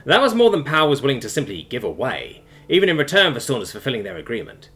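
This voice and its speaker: Male audiobook narrator for online sci-fi, expressive and wide-ranging in intonation, soft music underneath. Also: mid-range voice, fast. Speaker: narrator of online science fiction stories.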